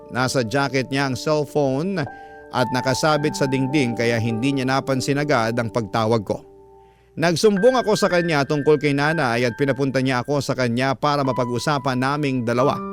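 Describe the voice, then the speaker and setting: A man's voice: mid-range voice, normal speed, lightly acted. Male actor as a Filipino storyteller over music. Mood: reflective, troubled. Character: grown child recounting family troubles to a radio host. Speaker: narrator of a letter-sender's story. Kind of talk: dramatized first-person radio storytelling.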